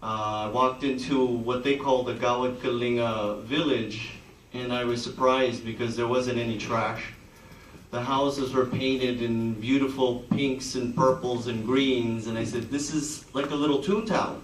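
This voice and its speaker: An American man who heads a foundation, speaking casually in a relaxed, joking way. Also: mid-range voice, a little slow, slight background noise. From United States. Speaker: foundation head. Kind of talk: casual speech with humor. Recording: echoey room, distant mic.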